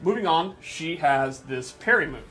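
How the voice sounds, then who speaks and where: Guy with a slightly high voice showing off a game, excited and explaining stuff, casual unscripted commentary. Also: a little slow, soft background music. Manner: enthusiastic, explanatory, emphatic. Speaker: presenter demonstrating gameplay.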